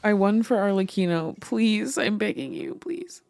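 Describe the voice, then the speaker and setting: A woman hosting a gaming session in casual, dramatic, excitable chat. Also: medium pitch, normal speed, gravelly, dry recording. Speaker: gaming video host.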